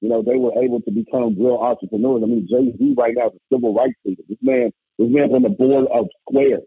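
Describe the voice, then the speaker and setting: A loud, emphatic man from Indiana talks over a thin, phone-like line, his voice gravelly and husky with a dry, close sound. His pitch leaps around as he tells his story. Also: animated, a little fast, dry recording. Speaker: guest, former college basketball coach turned musician. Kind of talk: casual conversation.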